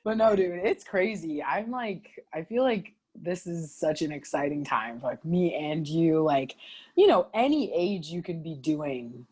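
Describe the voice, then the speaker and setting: A woman's voice: low voice, unhurried, husky. A woman in her twenties, a podcast guest, chatting casually and telling stories with lots of laughter. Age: 20s. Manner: giggly, animated storytelling. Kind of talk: casual chat.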